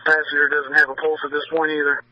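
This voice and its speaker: A man's voice: fairly high pitch, completely flat delivery, quick pace, dry recording. A man, a police officer in the field, giving clipped, matter-of-fact urgent reports over two-way radio. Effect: two-way radio transmission. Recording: muffled police scanner radio audio.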